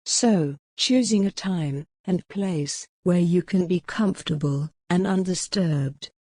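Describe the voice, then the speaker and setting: A woman leading a guided mindfulness meditation in a gentle, soothing, measured way with long pauses. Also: fairly low pitch, dry recording, clean studio sound.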